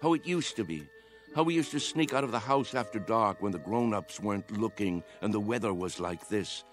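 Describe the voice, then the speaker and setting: A man's voice: lowish voice, animated, a little fast, close to an everyday voice. An actor playing an earnest, persuasive old man with a dreamer's young heart in a radio drama. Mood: coaxing. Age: older. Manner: earnest, persuasive, challenging with questions. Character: old man, impassioned youthful-hearted dreamer coaxing others to play. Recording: radio drama with music underneath.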